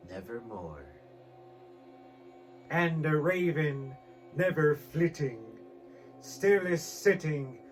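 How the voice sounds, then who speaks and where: High-pitched, tormented gothic narrator. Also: male voice. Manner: intense, theatrical. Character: tormented, desperate male narrator of a gothic horror poem. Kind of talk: dramatic poetry recitation in impersonated character voice.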